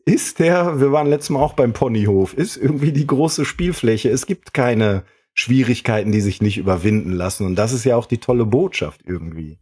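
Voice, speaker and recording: A man's voice: mid-range voice, mellow, husky. A man hosting a podcast in a casual, conversational style. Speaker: podcast host. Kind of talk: casual chat.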